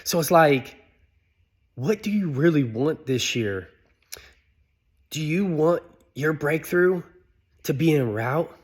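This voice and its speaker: A man's voice: a little high, expressive, slow, pauses often, husky. Chatty motivational man in his thirties. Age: 30s. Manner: conversational, filler-heavy, rhetorical questions. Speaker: motivational speaker. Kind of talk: casual motivational talk.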